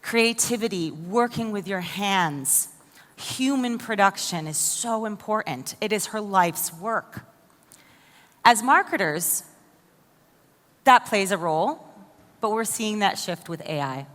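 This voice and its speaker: A woman's voice: medium pitch, lively intonation, slow, a bit bright. An American woman giving a conference keynote, enthusiastic and asking the audience questions directly. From United States. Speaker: conference speaker. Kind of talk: keynote presentation. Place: large conference hall. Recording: long hall reverb.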